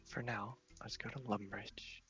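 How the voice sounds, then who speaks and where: A man softly narrating his gameplay ASMR-style into a headset microphone in a casual, unhurried, rambling way, at times dropping to a whisper. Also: on the low side, fairly even intonation, soft background music. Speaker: host of a gaming channel. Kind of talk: soft-spoken ASMR gameplay commentary.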